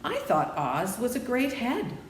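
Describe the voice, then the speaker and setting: A woman's voice: on the high side, huge pitch swings, a little slow, reverberant, long reverb tail, far from the mic, slight background noise, voice pushed up. A woman voicing an earnest young girl in a storybook, bewildered and full of questions. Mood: surprised. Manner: bewildered, inquisitive, exclaiming.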